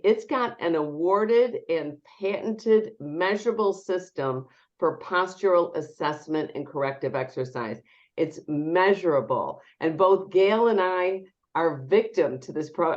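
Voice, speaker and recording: A low-pitched woman with a nasal voice, still getting over an illness, introduces a webinar in a chatty manner full of ums. The sound is a little dull and lo-fi, as on a video call. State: recovering from illness. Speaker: webinar host and company CEO.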